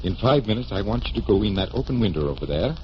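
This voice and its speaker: Actor as a wry, expressive magician detective. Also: male voice, fairly low pitch, fast talker, lightly acted. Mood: calmly instructing. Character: suave, teasing magician detective, calm leader. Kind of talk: 1940s radio acting. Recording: muffled, hissy vintage radio recording.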